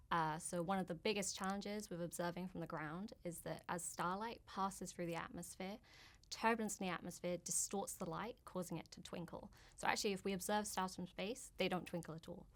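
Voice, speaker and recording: A woman's voice: lowish voice, normal speed. A woman with a southern English accent explaining her research in an interview. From Oxford, England. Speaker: postdoctoral astronomy researcher.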